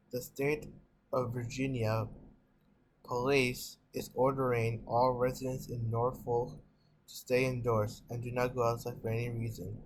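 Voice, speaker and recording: A man's voice: mid-range voice, slight background noise, lightly acted, poor audio. A man playing a serious breaking news anchor, reading a scripted report.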